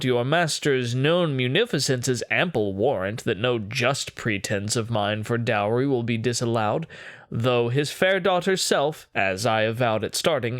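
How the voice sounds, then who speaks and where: A man performing a dramatic poetry monologue as a haughty, controlling, sinister Renaissance duke, with a pompous, theatrical, deliberate delivery. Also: medium pitch, a bit bright, no room sound, clean studio sound. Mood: suavely commanding.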